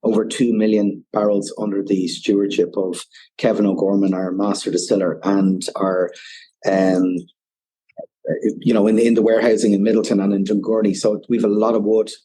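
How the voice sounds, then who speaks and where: Irish male guest, lowish husky voice, rambling explanatory podcast talk. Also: flat delivery, moderate pace, warm tone, poor audio. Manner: explains at length, peppered with "you know". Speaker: whiskey ambassador guest. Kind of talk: casual podcast interview.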